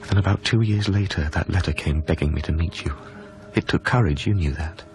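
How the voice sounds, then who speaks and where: An actor playing a grieving, wistful lover, narrating a radio drama in a hushed, measured, brooding manner. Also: male voice, low-pitched, slight background noise. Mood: regretful. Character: grieving, wistful former lover recounting a haunted past.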